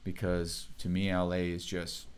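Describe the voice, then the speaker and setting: Man, young adult, deep low voice, laid-back, rambling, chatty. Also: monotone, measured pace, clean tone. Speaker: show host. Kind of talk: casual livestream chat.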